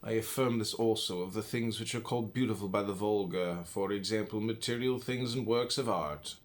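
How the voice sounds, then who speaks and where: Low-voiced American man reading solemnly. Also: normal speed. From United States. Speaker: audiobook reader. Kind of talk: audiobook reading of classical philosophy.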